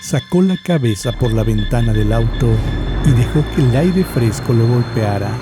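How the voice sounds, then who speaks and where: Guy narrating a horror story, solemn n measured, mid voice, music behind. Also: mid-range voice, lively intonation. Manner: measured, solemn, dramatic pauses.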